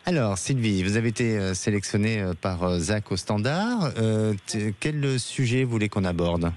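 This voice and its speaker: A man hosting a live radio call-in as a psychic, speaking gently and hesitantly with many fillers. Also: fairly low pitch, expressive, moderate pace.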